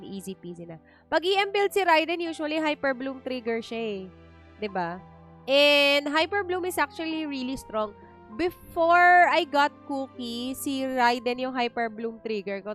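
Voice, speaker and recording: A woman's voice: high-pitched, a bit bright. A woman with a Filipino accent chatting casually as she streams a game, informal and thinking aloud. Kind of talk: casual livestream chat and game commentary. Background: faint game music.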